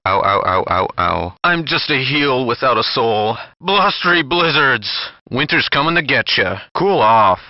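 A man's voice: medium pitch, big pitch swings, unhurried, few pauses, dull audio. A man voicing a wisecracking, smug snowman in a video game, delivering hammy one-liners. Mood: smug, taunting. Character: wisecracking snowman, cool, smug, full of ice puns. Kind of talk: video game character barks.

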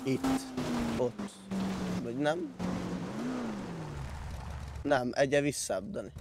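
A man's voice: on the high side, very animated. A man playing a game, chatting informally with a friend and giving directions, sometimes swearing. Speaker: fellow player. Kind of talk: casual gameplay chat, giving directions. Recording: loud car noise over game voice chat. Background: game engine and tyre sounds.

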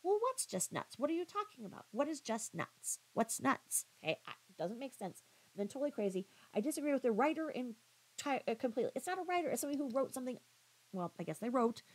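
A woman giving critical reaction commentary in a pointed, halting, questioning manner. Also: high-pitched, big pitch swings, a little mellow, husky, poor audio. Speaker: commentary vlogger.

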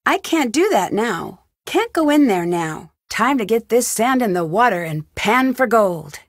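A woman's voice: on the high side, big pitch swings, a little slow, dry recording, studio quality, full character voice. An actress playing a curious, upbeat young woman detective in an adventure game, thinking aloud in short scripted remarks. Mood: curious, focused.

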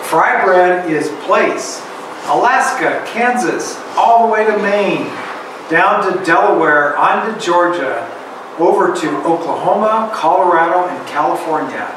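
Middle-aged guy reading a kids book out loud slow, stops to ask questions, far off in a roomy classroom. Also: high-pitched, background noise. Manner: slow, expressive storybook reading with pauses for questions. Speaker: guest reader. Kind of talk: reading a picture book aloud to children. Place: elementary school classroom. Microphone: far camera.

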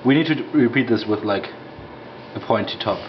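A man in a home kitchen giving halting, casual commentary on an experiment while concentrating on it. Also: medium pitch, muffled recording, noisy background. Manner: halting, restarts mid-phrase while concentrating.

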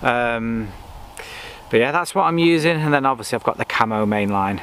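A man's voice: medium pitch, normal speed. A man, chatting casually about his fishing outdoors on a lakeside bank. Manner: relaxed, chatty, talks himself through each step. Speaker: angler vlogger. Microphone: camera on the bank in front of him. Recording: faint outdoor noise.

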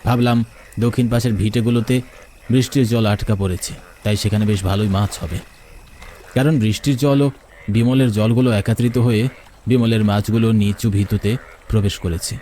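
A man's voice: on the low side, fairly even intonation, moderate pace, mellow, a little hiss or hum. A man narrating a first-person horror story in a dramatic, suspenseful way.

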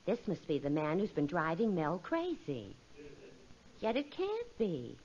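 An actress playing a female store clerk with a placating, expressive manner, in 1940s radio comedy style. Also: on the low side, a little slow, heavily acted. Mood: musing. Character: soothing, then exasperated female store clerk, wife. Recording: dull, noisy old radio recording.

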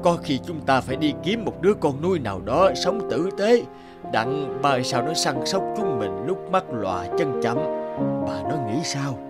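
An actor playing a gentle, sorrowful elderly father in a cartoon, with a halting, plaintive delivery. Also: medium pitch, very animated, loud music behind the voice, close to an everyday voice. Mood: sad, resigned. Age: older. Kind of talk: cartoon voice acting.

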